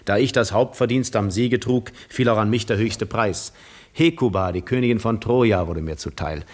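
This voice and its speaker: An actor playing a weary, reflective Greek war hero in a 1950s radio drama, telling his own story in measured, literary, ironic phrases. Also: fairly low pitch, natural voice. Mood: wry, reflective.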